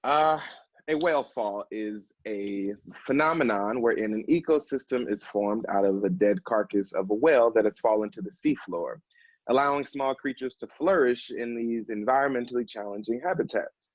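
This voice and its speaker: An actor plays a measured, dry-witted male assistant in a one-act play. His medium-pitched voice is articulate and deadpan. The sound has little room echo and is a bit dull. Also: natural voice. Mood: measured, explanatory.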